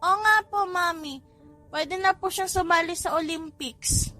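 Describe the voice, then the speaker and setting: A very high, childlike voice of a cheeky little boy played by a woman, whiny and earnest with his mother. He teases and pleads in a storybook family comedy, with faint music behind. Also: a little slow, sharp tone, exaggerated voice. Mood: eager, teasing. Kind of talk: audiobook character voice in a family comedy.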